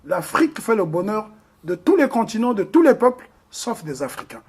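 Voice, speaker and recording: A man's voice: high-pitched, sing-song, moderate pace, darkish tone, breathy edge. A man from the Republic of the Congo, speaking as an interview guest in an earnest, emphatic way with frequent hesitations. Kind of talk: interview discussion.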